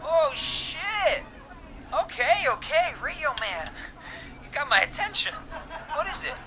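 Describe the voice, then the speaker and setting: A man's voice: very high voice, sing-song, unhurried, exaggerated voice. An actor playing a rowdy, beer-loving young fraternity boy in a video game, with boisterous, slangy party talk. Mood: earnest. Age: young adult. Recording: noisy call with loud party crowd.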